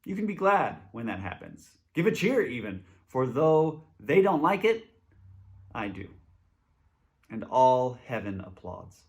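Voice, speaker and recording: A man's voice: medium pitch, big pitch swings, measured pace. A man speaking as a church presenter, giving an informal introduction and reading scripture aloud.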